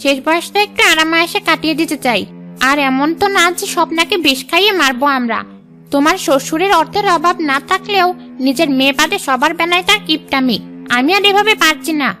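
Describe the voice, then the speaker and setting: A scheming older stepmother from a cartoon, acted by a woman, very high and piercing in pitch. She is greedy and calculating as she coaxes, over faint background music. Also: quick pace, bright, forceful tone. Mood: persuasive, fed up. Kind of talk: Bengali cartoon dialogue.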